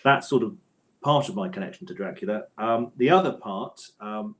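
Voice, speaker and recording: A man's voice: fairly low pitch, normal speed, fairly bright tone. A middle-aged man answering as an interview guest in a thoughtful, hesitant conversational way, often rephrasing as he goes. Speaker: interview guest, author and audio drama scriptwriter.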